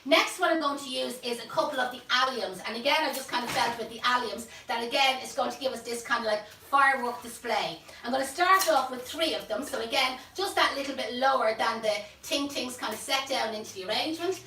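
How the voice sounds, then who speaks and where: A woman's voice: on the high side, a little fast, few pauses, sharp tone, a little hiss or hum. A woman with a Dublin accent, hosting a live flower-arranging class and chatting her way through the demonstration. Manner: chatty, homely, jokes asides. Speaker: florist teacher and host. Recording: roomy, mic at a distance.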